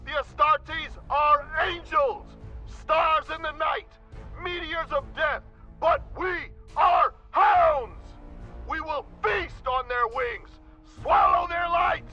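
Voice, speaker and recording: A man's voice: extremely high pitch, lively intonation, takes it slowly, sharp tone, dull audio, full character voice. A man playing a savage, zealous beast of a war leader, bellowing battle oratory in an audio drama. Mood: frenzied, exultant. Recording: band-limited, faint music underneath.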